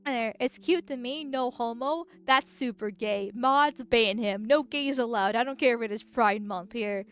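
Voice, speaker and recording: High, nasal-voiced woman streamer. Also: unhurried, clear, resonant tone, soft background music. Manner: rambling, giggly, with frequent filler words. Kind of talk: casual livestream chat.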